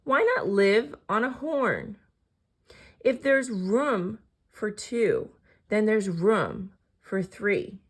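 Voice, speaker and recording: A woman's voice: mid-range voice, lively intonation, very slow, husky, full character voice. A woman voicing a cheeky, self-satisfied little male bird in a children's storybook. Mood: delighted.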